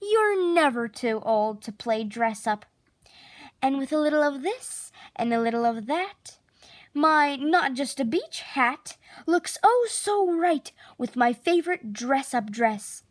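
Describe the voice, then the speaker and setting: A woman narrating a children's picture book expressively, with theatrical, drawn-out emphasis on key words. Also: sits high, animated, no echo. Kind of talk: expressive children's storybook read-aloud.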